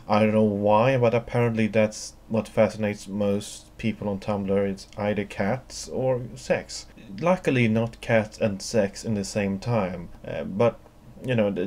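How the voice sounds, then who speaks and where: A man's voice: on the low side, warm tone. A man with a Swedish accent answering viewer questions alone in a dry, wry, wordy monologue. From Sweden. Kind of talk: solo Q&A monologue.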